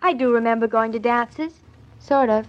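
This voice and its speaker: An actress playing a playful young wife in a 1950s radio comedy, with an innocent, gently teasing manner. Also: female voice, a little high, moderate pace, close to an everyday voice. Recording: dull old radio broadcast recording.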